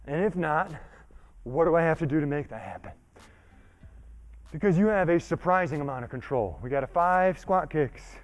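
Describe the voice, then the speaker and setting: A man leading a workout while giving a motivational talk, conversational and emphatic, with frequent check-ins and some breathlessness from exertion. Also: a little high. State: exerting, getting winded. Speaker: fitness trainer leading a workout. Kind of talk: motivational talk during exercise.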